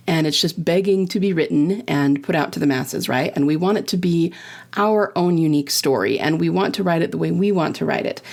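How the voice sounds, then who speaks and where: Low gravelly woman podcast host. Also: a little mellow. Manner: chatty, often checks in with "right?" and "you know"; upbeat, laughs between points. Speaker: podcast host and fiction writing teacher. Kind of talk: casual podcast teaching.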